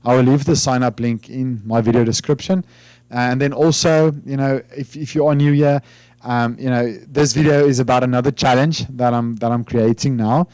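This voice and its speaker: A man's voice: mid-range voice, steady tone, normal speed, darkish tone, dry recording. A man from South Africa with a South African accent, Afrikaans his first language, chatting informally to his channel about finance with lots of fillers and restarts. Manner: rambling, hesitant, many fillers and restarts. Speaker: finance YouTuber. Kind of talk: informal talk to camera.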